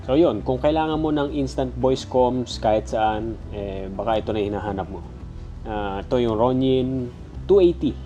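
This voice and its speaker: Filipino male reviewer, mid-pitched but on the mellow side, conversational, congested nose, faint music underneath. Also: moderate pace, lo-fi sound. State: stuffy nose. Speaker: product reviewer. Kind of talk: casual, conversational gadget review.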